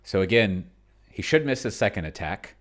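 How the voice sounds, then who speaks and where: A man giving casual think-aloud commentary on a solo tabletop game, halting and muttering to himself in a gravelly voice. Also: lowish voice, expressive, fairly bright tone. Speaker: solo tabletop gamer, video host.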